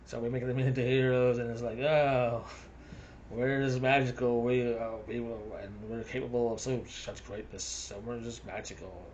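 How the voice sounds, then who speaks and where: A man's voice: mid-range voice, level tone, normal speed, a little mellow, highs cut off, a little hiss or hum. A man with a South African accent rambling through a casual monologue, chuckling between his thoughts.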